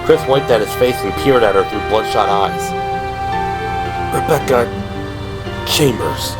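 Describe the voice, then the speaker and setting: A man's voice: lowish voice, brisk. A man reading scripted third-person narration for an audio drama. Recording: music behind the voice.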